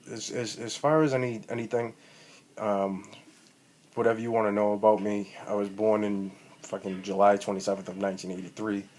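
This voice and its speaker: A man's voice: on the low side, a little mellow. A man, rambling profanely and full of ums as he talks about wrestling into a tablet mic. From Boston, Massachusetts. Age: 30s. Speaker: amateur wrestler. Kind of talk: rambling self-recorded vlog. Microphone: tablet built-in mic.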